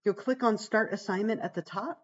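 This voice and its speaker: A woman psychology instructor, hoarse and gravelly from being sick, walking students through a course page in a chatty, reassuring way with many "um"s. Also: fairly quick, highs cut off. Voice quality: gravelly, deeper than usual. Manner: chatty, reassuring, fillers like "um" and "right?". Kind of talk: casual livestream course walkthrough.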